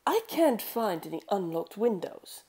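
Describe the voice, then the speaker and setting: A woman's voice: medium pitch, big pitch swings, a little slow, a little mellow, breathy edge. A woman reading the part of a blunt male manga artist, intensely curious, assertive and analytical. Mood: cool, matter-of-fact.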